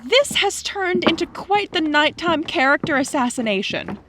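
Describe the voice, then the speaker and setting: A woman's voice: high-pitched, big pitch swings, normal speed, background noise. A woman acting a skeptical, sarcastic young prankster in an audio drama, with a dry delivery. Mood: dry, mocking. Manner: dry, sardonic.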